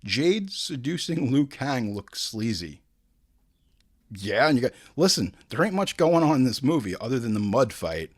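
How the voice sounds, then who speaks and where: A man's voice: mid-range voice, big pitch swings, normal speed. A man hosting a casual, comedic review show, talking in an emphatic, exasperated, slangy and profane way. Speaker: host of a movie review show.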